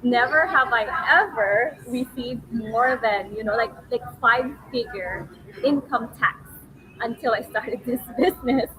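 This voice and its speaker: A woman's voice: a little high, unhurried, bright, forceful tone. A woman in her forties hosting a casual livestream in a chatty, giggly storytelling manner. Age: 40s. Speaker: livestream host, online business owner. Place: restaurant, waiting for food. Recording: noisy ambience of a meal venue.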